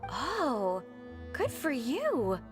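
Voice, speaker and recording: A woman's voice: high voice, wildly swooping pitch, extremely slow, music in the background, heavily acted. A woman voicing a wary girl who is aloof toward outsiders in a video game.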